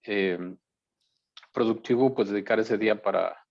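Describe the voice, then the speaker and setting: Man from guanajuato, lowish voice, lo-fi video call audio, polite hesitant remarks. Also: unhurried, gravelly, darkish tone, breathy edge. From Guanajuato, Mexico. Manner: polite, hesitant, many fillers. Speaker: forum participant. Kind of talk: remarks over a remote call.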